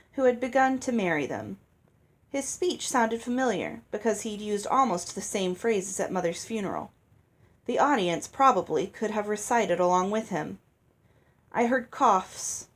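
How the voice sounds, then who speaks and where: A woman reading a novel aloud as narrator, in a storytelling style. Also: mid-range voice. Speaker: audiobook reader.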